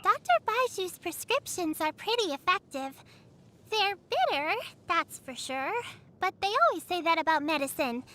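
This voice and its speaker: A woman's voice: extremely high pitch, expressive, normal speed, sharp tone, heavily acted. A woman voicing a sweet, earnest little girl in an anime game, caring, innocent and chatty. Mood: wistful. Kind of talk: anime game character voicelines. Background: background music.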